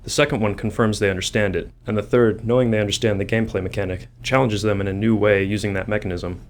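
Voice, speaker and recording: Fairly deep male voice with faint background noise. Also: steady tone, fast talker. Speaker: game developer. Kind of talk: scripted developer commentary.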